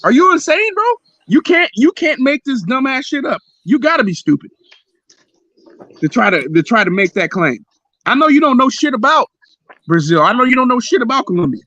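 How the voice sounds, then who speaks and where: A man's voice: very high voice, big pitch swings, a little fast, pushed and bright, dry recording, dull audio. A man hosting a livestream, arguing heatedly and repeating himself, sometimes swearing. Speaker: livestream host. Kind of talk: heated casual debate.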